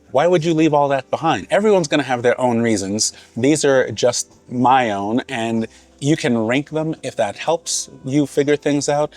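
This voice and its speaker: A man in his thirties, born in Haiti and raised in Massachusetts, talking to camera in an earnest, conversational, unhurried way. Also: medium pitch, a little mellow. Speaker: vlogger. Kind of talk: casual reflective talk to camera.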